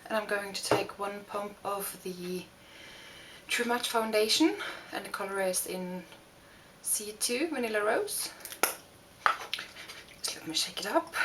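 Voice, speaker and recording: A young woman from Norway with a Norwegian accent, talking through a makeup tutorial with many pauses and restarts. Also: mid-range voice, background noise. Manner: hesitant, many fillers and restarts. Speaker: beauty vlogger. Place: home room.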